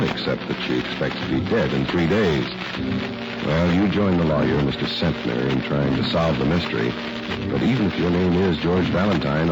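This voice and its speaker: A man announcing in polished, emphatic 1940s radio style, as for a programme and its sponsor. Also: very deep voice. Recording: noisy, muffled old radio recording.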